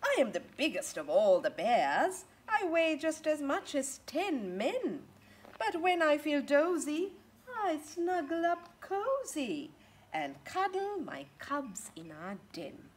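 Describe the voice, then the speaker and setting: A woman voicing a huge, proud, cuddly mother brown bear from a storybook, with expressive delivery. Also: sits high, slow, a little hiss or hum, pitched above the performer's own voice, full character voice. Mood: proud, cosy.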